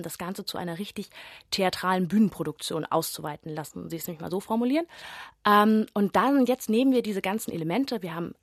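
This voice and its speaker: A woman's voice: mid-range voice, no echo, clean studio sound. A young woman theatre performer from Bavaria answering radio interview questions thoughtfully, with many fillers and emphatic stretches.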